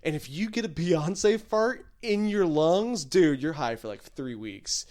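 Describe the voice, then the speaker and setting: A man's voice: on the high side, lively intonation, measured pace, a little mellow. A man hosting a comedy podcast with casual, rambling, jokey banter full of slang.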